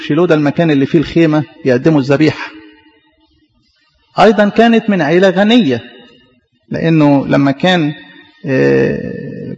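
A man's voice: a little high, vocal fry, a little mellow, big-room sound, muffled recording. A man preaching a sermon in an Egyptian Arabic accent, often asking questions and answering them himself. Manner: questioning, repetitive.

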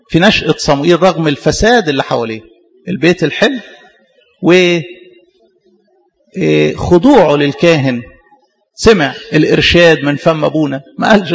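A man's voice: a little high, creaky. A man with an Egyptian Arabic accent preaching a sermon, retelling scripture in colloquial speech in an emphatic, teaching manner full of rhetorical questions. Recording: reverberant hall with long echo.

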